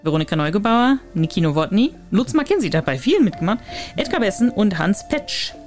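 A woman's voice: medium pitch, very animated, quick pace, darkish tone, faint music behind. A woman co-hosting a podcast in casual chat, reading out lists of names and laughing easily. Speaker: podcast co-host. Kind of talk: casual chat, quiz game.

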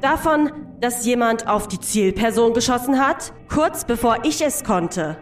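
An actress as a fierce female agent in a German sci-fi radio play. Her voice sits fairly high and carries a hot temper, with music underneath. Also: lively intonation, measured pace. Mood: furious. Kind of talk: German audio drama acting.

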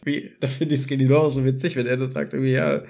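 A man's voice: medium pitch, flat delivery, rapid-fire, mellow. A man chatting casually as a podcast host, playfully joking and acting out bits as he talks. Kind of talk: casual chat about an audio drama episode.

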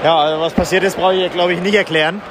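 A man reporting live and casually from a football match as a podcast host, speaking in an excited, raised voice. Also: high-pitched, fast. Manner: excited, raised voice over stadium noise. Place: outdoors in a football stadium stand. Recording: loud stadium crowd noise, outdoor field recording. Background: loud cheering football crowd.

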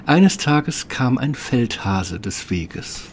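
A man's voice: mid-range voice, sing-song, takes it slowly, slight background noise. A man reading scripted fairy-tale narration as the storyteller of a radio play.

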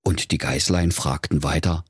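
A man's voice: extremely low pitch, steady tone, normal speed, slightly rough, no room sound, studio quality. A man narrating a comic fairy tale as a storyteller, in a dramatic storybook manner. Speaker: storyteller, podcast host.

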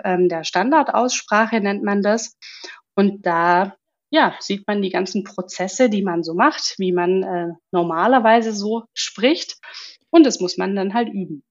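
A woman working as a voice coach and speech therapist, explaining calmly in a podcast interview and often humming along in agreement. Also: medium pitch, a little slow, dull audio. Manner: calm, explanatory, with frequent affirming hums.

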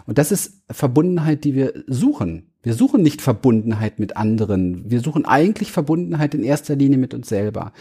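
A man's voice: medium pitch, very animated, brisk, few pauses, dark tone, husky. A man speaking earnestly as a guest in an interview, searching for words and restarting phrases as he explains. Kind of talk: reflective interview talk.

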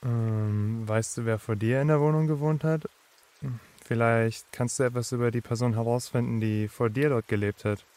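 Man playing a calm, supportive young boyfriend. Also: mid-range voice, normal speed, lightly acted. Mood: calm, thoughtful. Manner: quiet-tempered, hesitant advice. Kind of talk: acted dialogue in an audio horror story.

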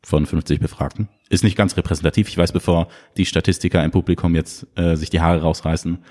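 Deep-voiced lively German podcast guest. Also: male voice, quick pace. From Munich, Germany. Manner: lively storyteller, chuckles while talking. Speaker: podcast guest, crypto shop founder. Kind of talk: casual podcast conversation.

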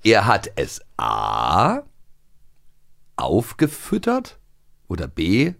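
A man's voice: low voice, huge pitch swings, extremely slow, vocal fry, fairly bright tone. A middle-aged man chatting casually and humorously as a podcast co-host.

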